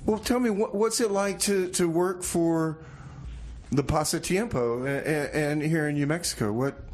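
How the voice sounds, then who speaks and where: A man hosting a casual radio interview, feeling his way into questions haltingly and repeating words. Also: fairly high pitch. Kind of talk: casual, loose interview chat. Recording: clear background noise and ambience.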